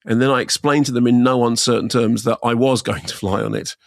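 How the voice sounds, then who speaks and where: Man, 60s, co-host, rambling, self-correcting, gravelly voice, dry sound. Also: mid-range voice, fairly even intonation, quick pace, no room sound. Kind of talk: casual podcast chat.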